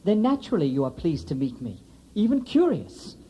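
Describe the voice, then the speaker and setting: A man's voice: on the high side, very animated, moderate pace, dark tone, a little hiss or hum. A man playing an eccentric, sly, unsettling asylum patient in a radio drama, over-polite, theatrical and abrupt. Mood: eager, odd.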